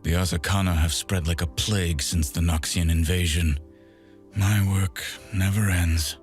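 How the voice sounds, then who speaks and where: A man voicing a grim, solemn undead swordsman from a video game, speaking in a measured, dramatic way over music. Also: low-pitched, steady tone. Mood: weary gravity. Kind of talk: video game character voice acting.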